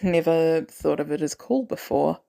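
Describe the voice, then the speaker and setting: A woman voicing a weary, guilt-ridden male former assassin in an audio drama, terse and hesitant, with dry, protective gruffness. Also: sits low, measured pace, dark tone. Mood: guarded, dry.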